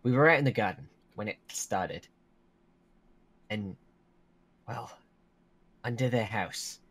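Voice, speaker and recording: Man acting a low-voiced worried young werewolf. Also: animated, takes it slowly, fairly bright tone, breathy edge, full character voice. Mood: serious. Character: young male werewolf, worried, bossy, protective friend. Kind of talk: improvised Minecraft roleplay acting. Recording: music under the voice.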